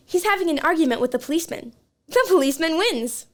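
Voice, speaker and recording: A woman's voice: very high voice, lively intonation, fast. A woman playing an earnest, urgent radio news reporter in a radio play, with a formal announcer's delivery. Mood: descriptive, urgent.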